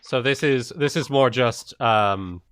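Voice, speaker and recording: Guy at the rpg table, slow and chill, bright voice, jokey. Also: mid-range voice, smooth. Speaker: player. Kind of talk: casual tabletop play.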